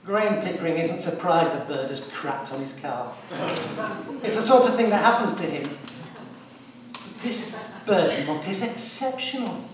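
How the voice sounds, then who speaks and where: Male narrator for live dramatic storytelling, lightish pitch, distant and very echoey theatre recording. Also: animated, unhurried, long pauses, a crowd in the background. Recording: very echoey hall, distant, loud background noise.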